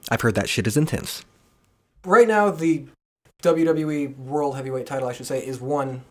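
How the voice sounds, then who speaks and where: A woman's voice: extremely low pitch, normal speed, darkish tone. A woman hosting a podcast in a casual, informal and enthusiastic chatty way. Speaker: podcast host. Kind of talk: casual group chat.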